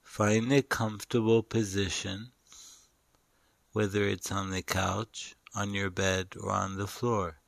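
A man guiding a meditation in short, deliberate phrases with long pauses between them. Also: on the low side, flat delivery, creaky, no room sound. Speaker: meditation guide. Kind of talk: guided meditation narration.

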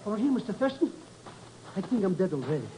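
An actor playing an excitable, shifty small-time smuggler, a comic cowardly sidekick, in a 1950s radio drama. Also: male voice, high-pitched, normal speed. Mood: rueful, nervous. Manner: wheedling, blustering, comically indignant. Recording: muffled, hissy 1950s radio recording.

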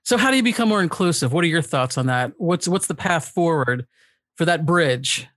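A woman with a deep voice co-hosting a casual talk show, lively and playful. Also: moderate pace, dry recording, clean studio sound. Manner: lively and playful, laughs easily. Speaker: co-host.

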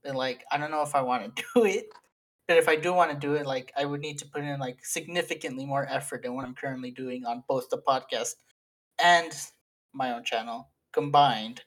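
A man's voice: medium pitch, brisk, fairly bright tone, low-quality recording. Young male co-host, casual, rambling delivery with frequent laughs and fillers, mid-range voice. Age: young adult. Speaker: podcast co-host and editor. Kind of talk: casual chat among friends.

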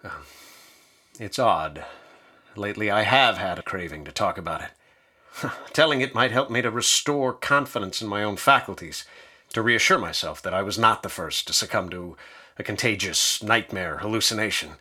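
An actor playing a curious young student traveler in a radio drama, uneasy and bookish, with hesitant, earnest phrasing. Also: male voice, fairly low pitch, lively intonation, moderate pace, pushed and bright, slightly rough, heavily acted. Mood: haunted, confessional. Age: young adult.

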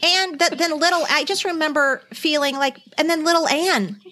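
A middle-aged woman hosting a casual podcast chat, telling things in an emphatic, expressive way. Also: high voice, moderate pace. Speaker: podcast host.

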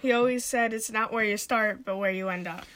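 A woman's voice: mid-range voice, fairly quick, fairly bright tone. A twelve-year-old girl co-hosting a casual home podcast, shy and giggly as she answers. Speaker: podcast co-host.

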